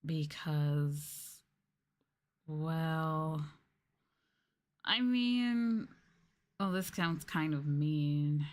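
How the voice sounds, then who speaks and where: A young adult woman chatting casually and softly as an ASMR streamer, candid and mildly sweary. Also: deep voice, animated, drawn-out words, pauses often. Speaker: VTuber streamer. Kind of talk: soft-spoken ASMR casual chat.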